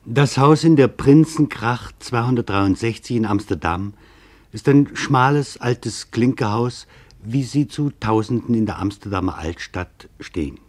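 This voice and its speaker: A man narrating a scripted literary documentary in a grave, measured way with deliberate pauses. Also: mid-range voice, animated, a little mellow.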